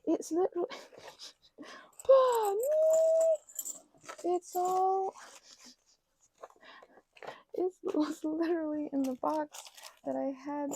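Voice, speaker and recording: A woman chatting casually as she works on crafts at her table, slipping between soft whispers and louder bursts, teasing herself as she goes. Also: extremely high pitch, expressive, extremely slow, long pauses, no room sound. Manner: chatty, self-deprecating, drifting between whispers and bursts of talk. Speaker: crafting ASMR creator. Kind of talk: rambling craft chat while working.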